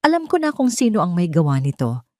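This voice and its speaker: A woman's voice: lowish voice, sing-song, fast talker, a little mellow, no room sound, studio quality. Woman voicing an icy, commanding rich businessman. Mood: grim resolve. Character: cold, furious wealthy male CEO demanding answers.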